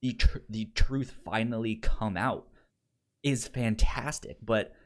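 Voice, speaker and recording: A man co-hosting a podcast in casual discussion, speaking haltingly with stammers and repeated word starts. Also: on the low side. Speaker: podcast co-host reviewing an audio drama.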